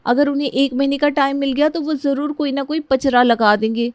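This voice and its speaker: A woman's voice: sits high, steady tone, brisk, darkish tone, no echo, voice pushed up, natural voice. A woman voicing an earnest, pleading young bride-to-be who defends her fiance in a story. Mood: pleading, urgent.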